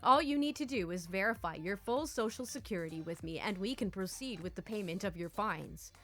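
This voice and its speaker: A woman's voice: medium pitch, fairly quick, pushed and bright, faint music behind. A woman reading the part of a smug male phone scammer who poses as an obliging official in a story. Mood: placating.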